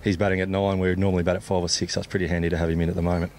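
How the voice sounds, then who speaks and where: A man's voice: deep voice, level tone, racing, darkish tone. An Australian man, a cricket captain, giving a colloquial, laid-back sports interview outdoors. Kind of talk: post-play sports interview. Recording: clear background noise, outdoor ambience.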